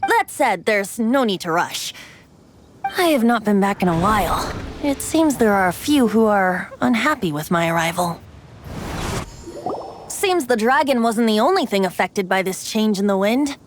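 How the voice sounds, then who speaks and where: A woman's voice: mid-range voice, lively intonation, a little slow, background noise, lightly acted. A woman playing a youthful boy bard, breezy and secretive, with a light, theatrical delivery in an anime-style game. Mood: curious, musing.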